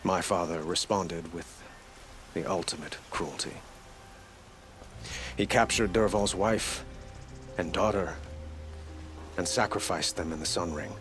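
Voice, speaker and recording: A man playing an earnest, troubled young king in a video game, speaking in a measured, courtly, formal way. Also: low voice, over music. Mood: solemn. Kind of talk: video game cutscene acting.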